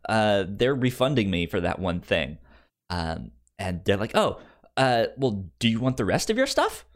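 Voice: medium pitch, very animated, moderate pace. A podcast co-host with a stutter, repeating sounds and words while telling an informal story in casual chat and acting out the dialogue.

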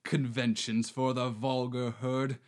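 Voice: mid-range voice, flat delivery, slow pace, darkish tone, natural voice. A performer playing a swaggering male bandit who poses as a romantic marquis, delivering verse theatrically. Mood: grandiose. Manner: bombastic, theatrical verse delivery with wild swings. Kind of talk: amateur reading of a verse play.

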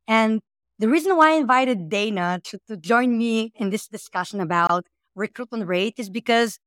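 A woman's voice: on the high side, fairly bright tone, dry recording, clean studio sound. A woman from Bulgaria hosting a podcast and interviewing a guest in an upbeat way, sometimes repeating words as she goes. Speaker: podcast host.

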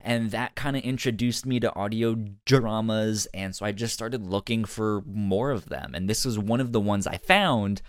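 A man's voice: fairly low pitch, normal speed, few pauses, clean tone, no room sound, studio quality. A man co-hosting a casual review podcast, often stammering and repeating words as he goes. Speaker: podcast co-host.

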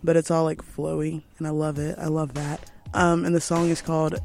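A woman's voice: low voice, level tone, moderate pace, vocal fry, music in the background. A young woman co-hosting a college radio show, laid-back and throaty from a starting cold, chatting in a studio. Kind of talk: casual on-air chat.

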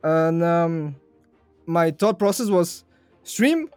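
Young guy chatting as a podcast guest, kinda high voice, dry sound, soft music behind. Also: expressive, slow, smooth, no echo. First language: Bulgarian. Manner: chatty, laughs easily, repeats phrases. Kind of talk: casual podcast interview.

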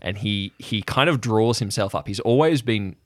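Casting: male game master, low pitch, improvised scene narration, very dry close recording. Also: moderate pace, no echo.

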